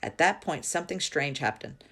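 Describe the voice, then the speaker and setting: A woman reading a children's story aloud in an expressive narrating style. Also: deep voice, normal speed. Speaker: reader.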